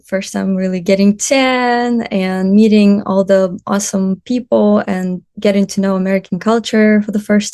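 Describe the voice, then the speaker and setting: A woman's voice: medium pitch, darkish tone. A woman in her thirties, born in Russia with Russian as her first language, chatting casually as a podcast guest, laughing often and making small grammar slips. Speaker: podcast guest, photographer and traveler. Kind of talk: casual interview chat.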